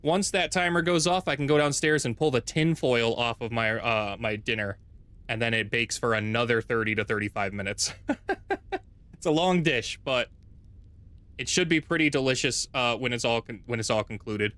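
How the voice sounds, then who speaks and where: Deep-voiced man streaming a game. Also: moderate pace, fairly bright tone, no room sound. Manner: rambling, self-correcting, thinks aloud. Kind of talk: casual gameplay livestream chat. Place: home streaming setup. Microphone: desk mic with noise gate.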